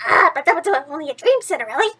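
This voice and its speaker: A small male storybook mouse, voiced by a woman reader, wary and protective of a friend. The voice is high and cautioning. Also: big pitch swings, fast, background noise. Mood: warning.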